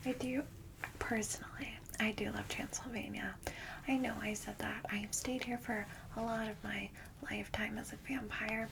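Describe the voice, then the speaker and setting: A woman voicing a friendly, reassuring vampire guide in a soft, breathy ASMR whisper. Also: medium pitch, fairly even intonation, moderate pace, background noise, natural voice. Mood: caring, reassuring. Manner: gentle, reassuring, hesitant asides. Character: friendly female vampire guide, centuries-old, caring and reassuring. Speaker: ASMR roleplayer.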